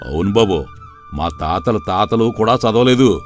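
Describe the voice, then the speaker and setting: A man's voice: on the low side, expressive, unhurried. A man voicing a skeptical, resigned old village elder in a moral story. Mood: doubtful resigned. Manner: rustic colloquial speech, resigned. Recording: music bed under the voice.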